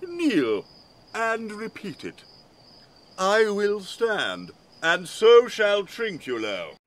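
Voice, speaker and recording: A man's voice: high voice, wildly swooping pitch, very slow, a bit bright, exaggerated voice. An actor playing a drunken, pompous man lording it over others in a Shakespearean comedy. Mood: drunken, bossy. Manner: pompous, commanding. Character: drunken, swaggering, bossy self-made island lord. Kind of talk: Shakespearean comic acting.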